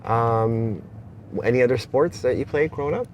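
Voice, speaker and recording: A man's voice: mid-range voice, moderate pace, muffled recording. Canadian man interviewing casually in a noisy car. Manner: informal, chummy slang. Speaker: interviewer host. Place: inside a car being driven. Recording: noisy moving vehicle interior.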